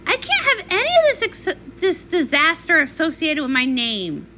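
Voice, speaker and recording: A vain cartoon nymph, acted out by a woman, speaks high and bright. The delivery is theatrical and grandiose, swooping through every line with scheming self-importance. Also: huge pitch swings, normal speed, slight background noise, exaggerated voice. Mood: upset, defiant. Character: vain scheming pony-world nymph, grandiose and easily upset. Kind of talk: comic read-along character voice.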